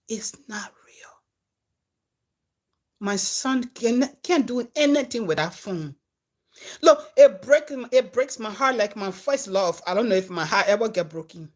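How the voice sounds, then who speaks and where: A Liberian woman, a comedian and content creator, telling her followers a story in a casual, animated way that swings from loud to hushed. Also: medium pitch.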